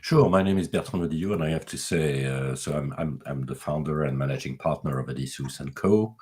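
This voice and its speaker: A man with a French accent introducing himself as a panelist, halting and stumbling over his words. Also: a bass voice, a little mellow, husky. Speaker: panelist, company founder.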